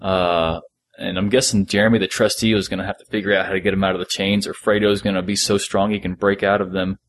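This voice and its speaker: A man's voice: low voice, level tone, fast. A man hosting a podcast, talking casually as a fan discussing a story. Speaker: podcast host.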